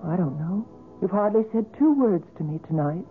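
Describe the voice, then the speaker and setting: Gentle, coaxing woman with lively intonation acted. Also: on the low side, moderate pace, lightly acted. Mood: hurt, tentative. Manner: soothing, coaxing, earnest. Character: gentle, patient, concerned woman companion of a brooding artist. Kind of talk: 1970s radio drama acting. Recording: narrow-band old radio recording, music.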